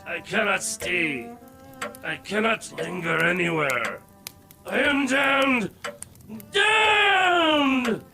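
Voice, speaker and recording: A man playing the anguished ghost of a dead business partner in a theatrical audio drama, with wailing, drawn-out delivery. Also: high voice, expressive, vocal fry, bright, forceful tone, faint music behind, exaggerated voice. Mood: anguished, raging.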